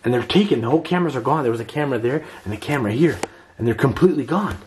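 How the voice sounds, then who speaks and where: A young man narrates his way through a dark abandoned house, keen and a little foul-mouthed as he speaks to his viewers. His voice sits mid-range, and the handheld camera picks up a steady layer of hiss and clicks. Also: mid-range voice, very fast, reverberant. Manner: excitable, swears, addresses viewers. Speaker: vlogger. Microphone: handheld camera mic.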